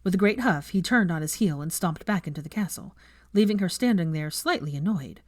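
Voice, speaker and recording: Female audiobook narrator, lowish pitch, very varied intonation, scripted storytelling, close dry recording. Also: lively intonation, brisk, no echo. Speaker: audiobook narrator of fan fiction.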